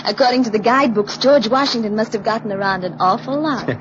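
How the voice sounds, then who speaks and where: A woman's voice: fairly high pitch, fast, lightly acted. A woman playing an affectionate, slightly anxious young wife with an American accent, in 1940s radio drama style. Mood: affectionate, wistful. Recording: muffled, noisy old radio recording.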